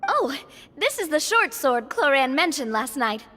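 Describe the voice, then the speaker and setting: A woman's voice: high voice, very animated, measured pace, bright, forceful tone, big-room sound, heavily acted. A woman voicing a playful, breezy and cheekily bold young woman adventurer in a video game.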